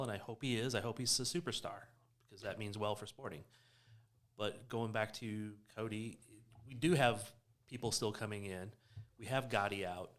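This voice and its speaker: A man's voice: on the low side, a bit bright. An American man in a casual sports discussion, speaking as a podcast panelist.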